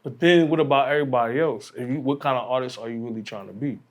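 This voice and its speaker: A man's voice: medium pitch, very fast. A man speaking casually and conversationally in slang, as a DJ guest on a podcast.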